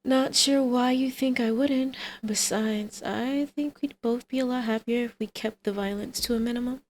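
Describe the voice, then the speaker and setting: Girl doing a cocky villain mom for asmr roleplay, teasing n coaxing, kinda high voice. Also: fairly even intonation, moderate pace, a little mellow, close to an everyday voice. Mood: teasing, smug. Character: brazen female supervillain, teasing, combative, scheming mother.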